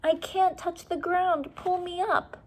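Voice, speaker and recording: An earnest young boy adventurer from a storybook, as a woman reader plays him. He sounds careful but nervous, with tense urgency and a raised pitch. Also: steady tone, slow, darkish tone. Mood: tense, straining.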